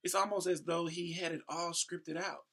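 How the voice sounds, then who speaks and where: A man's voice: fairly high pitch, little pitch movement, moderate pace, gravelly. An American man in his thirties from Houston, a host reading aloud with playful, casual asides and ad-libs. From Houston, Texas, United States. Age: 30s. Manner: playful, breaks into ad-libs and shout-outs. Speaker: host and professional event MC.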